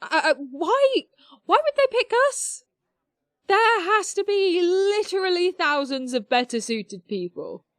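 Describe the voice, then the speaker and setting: A woman playing a brainy, excitable teenage girl who talks in formal, wordy bursts in an audio drama. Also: very high voice, measured pace, no echo, clean studio sound, full character voice. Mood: amazed, baffled.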